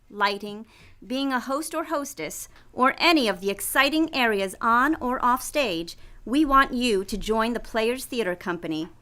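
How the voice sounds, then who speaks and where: A woman's voice: on the high side, pushed and bright. A woman reading a scripted promotional announcement. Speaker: promo announcer for a community theatre.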